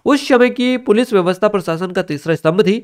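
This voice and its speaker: A man's voice: high-pitched, a little fast, clear, resonant tone. A man giving an explanatory exam-review lecture as a teacher, instructive and repeating key points for emphasis.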